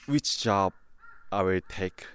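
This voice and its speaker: Young male interviewee, Korean accent, low voice, slow, thoughtful, hesitant. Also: clear, resonant tone. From South Korea. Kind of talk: casual personal answer.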